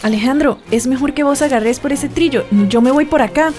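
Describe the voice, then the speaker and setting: High-voiced headstrong young Costa Rican woman played by an actress. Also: a little fast, darkish tone, soft background music, lightly acted. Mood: urgent, insistent. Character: headstrong young woman, secretly in love, daring daughter. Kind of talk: radio soap opera acting.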